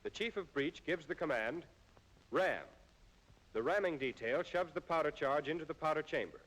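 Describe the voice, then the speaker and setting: An American man reading scripted instructional narration in a formal, measured way, as in an old military training film. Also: fairly high pitch, animated, a bit bright, rough recording.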